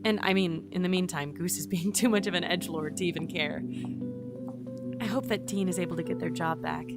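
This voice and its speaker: A woman's voice: lowish voice, music in the background, close to an everyday voice. A woman playing an earnest, anxious young entity in an audio drama, talkative and flustered. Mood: wistful, chatty. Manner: flustered, expressive, halting.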